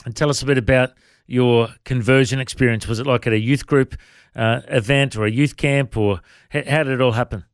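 An Australian man with a mid-pitched voice works as an interview host. He speaks in a chatty interviewing style, recorded very dry with no room sound. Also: steady tone, fairly quick, clear, resonant tone, no echo. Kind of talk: interview chat.

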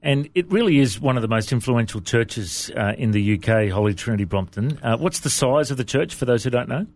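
A man's voice: medium pitch, lively intonation, brisk, darkish tone. Upbeat male TV interview host. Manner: upbeat, friendly, laughs easily. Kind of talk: studio interview. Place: television studio.

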